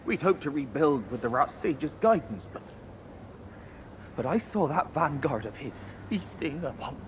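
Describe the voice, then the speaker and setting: An actor playing a wary rat exile in a video game, earnest and guarded, weary but resolute. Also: male voice, a little high, very animated, a little slow, slight background noise. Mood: grave, fearful. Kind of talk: video game character acting.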